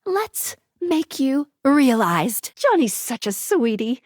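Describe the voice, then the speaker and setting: A woman's voice: sits high, sing-song, takes it slowly, a little mellow, no room sound, studio quality, full character voice. A woman playing a perky, upbeat young female guide in a dating video game, with a playful, quirky and earnest manner. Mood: excited, bubbly. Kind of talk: video game character voice acting.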